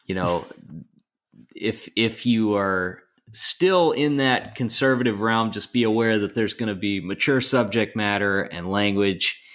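Young male podcast host, lowish voice, dry joking delivery. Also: unhurried, a bit bright. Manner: wry, joking, laughs easily. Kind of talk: casual chat.

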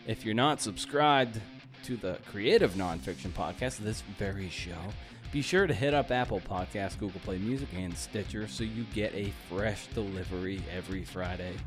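A man's voice: lowish voice, normal speed, music in the background. A man hosting a podcast, speaking in a punchy, emphatic way with playful exclamations. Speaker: podcast host. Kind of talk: podcast intro and interviewing.

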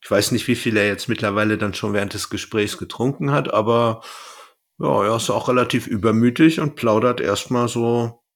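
A man's voice: lowish voice, fairly bright tone. A man co-hosting a podcast, retelling a story in casual chat with colloquial, jokey phrasing. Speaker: podcast co-host.

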